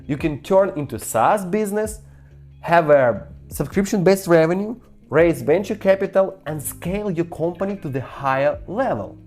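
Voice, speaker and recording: A woman with a low voice and a Ukrainian accent presents a scripted business lesson. Her delivery swings widely in pitch as she stresses key points, while the tone stays dark and soft-edged. Faint music plays underneath. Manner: emphatic, persuasive, addressing the viewer directly. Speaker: startup founder, business course presenter. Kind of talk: scripted master-class sales presentation.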